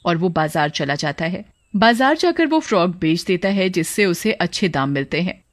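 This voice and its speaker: A woman reading a scripted cartoon story as narrator, in a plain, measured storytelling manner. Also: fairly low pitch, animated.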